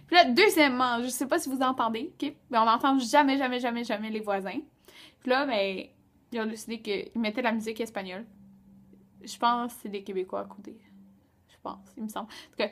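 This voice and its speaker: A woman's voice: fairly high pitch, quick pace, a bit bright. A woman in her twenties with a Quebec French accent, chatting colloquially to camera in a casual vlog, recorded indoors on a handheld camera. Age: 20s. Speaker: beauty vlogger. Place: small rooms at home, indoors. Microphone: camera at arm's length.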